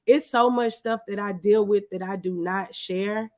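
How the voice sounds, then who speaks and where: A woman's voice: medium pitch, steady tone, measured pace, darkish tone. A woman speaking as an interview guest, telling personal stories in a casual, conversational way. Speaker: interview guest, small business owner.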